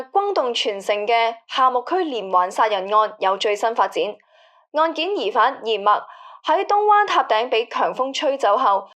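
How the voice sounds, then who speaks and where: A woman playing a composed news anchor, reading a formal, measured bulletin in an audio drama. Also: a little high, fairly bright tone.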